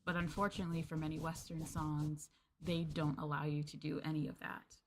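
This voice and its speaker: A woman's voice: deep voice, little pitch movement, a little fast, a little hiss or hum. A woman streamer chatting casually with her viewers, addressing them as Masters and filling her talk with ums and likes. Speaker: VTuber streamer.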